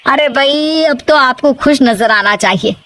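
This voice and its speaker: A woman's voice: high voice, measured pace, sharp tone, slight background noise. A woman voicing a chatty, inquisitive middle-aged neighbour with a coaxing, questioning manner in a cartoon story. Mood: curious, coaxing.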